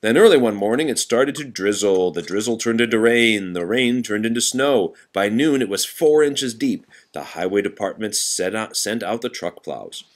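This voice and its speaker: A man reading a children's picture book aloud to a child in an expressive, patient way. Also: lowish voice, moderate pace, hardly any pauses. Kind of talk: storybook read-aloud to a child.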